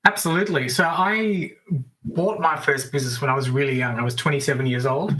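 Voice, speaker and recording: A middle-aged man with an Australian accent, a business coach chatting as a guest over a remote call, upbeat with quick asides. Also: medium pitch, pushed and bright, low-quality recording. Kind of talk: casual interview storytelling.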